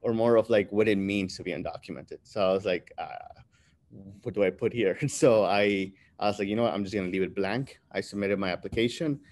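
A man in his thirties, born in Peru and raised in New Jersey, telling his life story as an interview guest on a video call, relaxed and filler-heavy. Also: fairly low pitch. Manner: relaxed, many fillers, laughs between phrases. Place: room with bright window behind. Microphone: webcam on video call.

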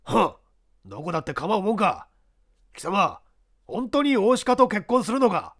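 A man's voice: high-pitched, big pitch swings, normal speed, a bit bright, full character voice. A man voicing a jealous, threatening big young man in an audiobook drama. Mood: angry, demanding. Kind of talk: audiobook character acting.

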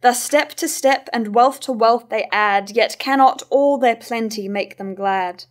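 Tragic chorus, young female reader, disapproving and sage, reciting Jacobean verse evenly in a higher voice. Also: lightly acted. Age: young adult. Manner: measured recitation. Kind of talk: staged verse reading of Jacobean tragedy. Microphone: stand microphone at lectern.

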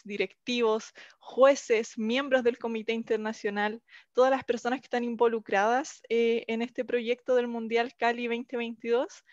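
Higher-pitched young Chilean woman. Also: no room sound. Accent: Chilean Spanish. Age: young adult. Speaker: English teacher presenting a language project. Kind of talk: online presentation. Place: small indoor room, video call. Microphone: headset. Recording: muffled video-call headset audio.

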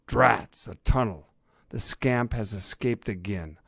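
A man's voice: on the low side, normal speed, a little mellow, no room sound, studio quality, close to an everyday voice. A man voicing an irritable, blustering badger police inspector in a storybook tale. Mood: excited. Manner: gruff-tempered, huffy.

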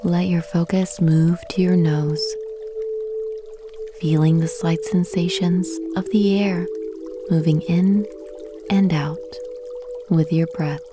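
A woman guiding a meditation slowly and soothingly with long pauses, her soft voice turning breathy at phrase ends. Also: lowish voice, steady tone, music in the background. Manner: slow, soothing, gentle instruction with long pauses. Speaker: meditation guide. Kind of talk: guided meditation.

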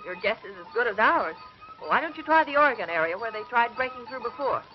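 An actress playing an earnest, alert young woman scientist in a 1950s TV space adventure, with crisp, dramatic delivery. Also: fairly high pitch, animated, fast, close to an everyday voice. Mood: urgent. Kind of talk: 1950s TV serial acting. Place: studio laboratory set. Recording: dull, muffled 1950s TV soundtrack.

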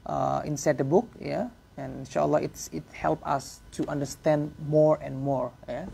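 A man in his thirties with an Indonesian accent, giving an informal religious lesson in halting English, filling pauses with "yeah" and "uh". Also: a little high, fairly even intonation, vocal fry, mellow, slight background noise. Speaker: religious teacher. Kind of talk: informal lecture to a small class. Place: prayer room, indoors.

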